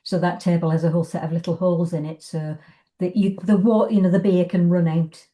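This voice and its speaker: A woman's voice: on the low side, steady tone, fairly quick, mellow. A woman chatting on a video call about arts projects, informal and rambling. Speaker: arts organisation member describing past projects. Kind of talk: casual conversational explanation.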